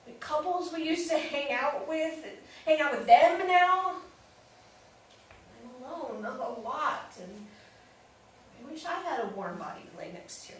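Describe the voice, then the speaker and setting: Actress playing defensive wounded sister, high female voice, candid and emotional, stage play reading, distant mic. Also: animated, unhurried. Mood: hurt, bitter. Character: wounded, defensive adult sister, newly separated, bitter and vulnerable. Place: live staged reading in an echoey room. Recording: very echoey room, distant mic, faint noise.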